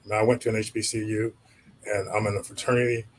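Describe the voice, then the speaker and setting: A man's voice: on the low side, level tone, fast, a little hiss or hum. A man moderating a community panel, chatting casually and directing questions to the speakers. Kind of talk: panel discussion.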